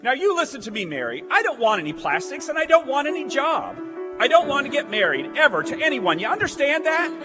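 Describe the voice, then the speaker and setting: A man's voice: very high voice, big pitch swings, fairly quick. An actor playing an agitated young small-town man in a stage radio play. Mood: angry, anguished. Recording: echoey theatre hall, faint music behind.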